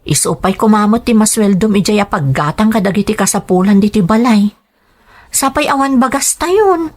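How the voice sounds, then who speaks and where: A woman's voice: mid-range voice, animated, normal speed, darkish tone, lightly acted. A woman voicing a nagging, pleading young wife in a poor household, in an audio drama. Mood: scolding, worried. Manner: nagging, questioning. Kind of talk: serial audio drama acting.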